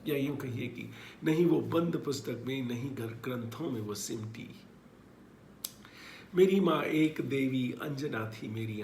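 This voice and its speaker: A man recites his own verse at an unhurried, deliberate pace, repeating lines and moving between loud declaiming and soft asides. His voice sits at an ordinary pitch and rings in an echoey room. A faint background hiss runs underneath. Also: creaky. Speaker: poet. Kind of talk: poetry recitation with spoken asides.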